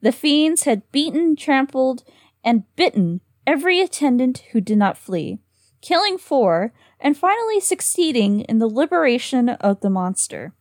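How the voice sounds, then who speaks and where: A woman's voice: high voice, very animated, unhurried, a little mellow, no room sound, studio quality. A woman reading a horror story aloud with a slight lisp on s sounds, sometimes tripping over words. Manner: giggly, trips over words. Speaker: reader and co-host.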